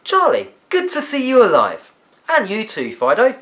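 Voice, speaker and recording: A man voicing a breezy, flamboyant, excitable schoolgirl in a children's storybook. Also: sits high, moderate pace, voice pushed up, exaggerated voice. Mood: excited. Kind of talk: character voice in children's story reading.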